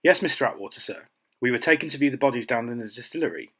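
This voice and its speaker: A man's voice: mid-range voice, animated, racing, fairly bright tone. A man playing a deferential juryman, reporting formally in an audio drama. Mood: respectful. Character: respectful male juryman reporting to the coroner. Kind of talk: scripted audio drama acting.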